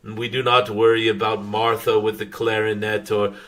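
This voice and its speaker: A man channeling a wise, gentle dragon spirit, giving spiritual guidance in a halting, formal way with frequent humming pauses. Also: lowish voice, flat delivery, natural voice. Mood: calm, instructive. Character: wise, gentle dragon spirit addressing followers as dear ones.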